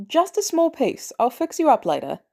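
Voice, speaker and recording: Woman as a wry, fatherly superhero inventor, animated. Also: sits high, moderate pace, gravelly, voice pushed up, full character voice. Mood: casual.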